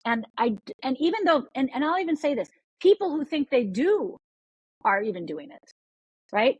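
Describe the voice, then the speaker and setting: A woman's voice: high voice, sing-song, moderate pace, a little mellow, breathy edge, dry recording, highs cut off. A woman from Upper Michigan, a podcast guest, talking with energy and often repeating words as she restarts sentences. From Upper Michigan, USA. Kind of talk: podcast interview.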